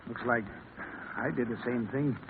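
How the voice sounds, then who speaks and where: An actor playing a tough, gruff but loyal American legionnaire in a 1930s radio drama, talking in slangy style. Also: male voice, medium pitch, moderate pace, phone-quality sound, natural voice. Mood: weak, wounded. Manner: slangy tough-guy talk. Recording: scratchy, thin 1930s radio recording.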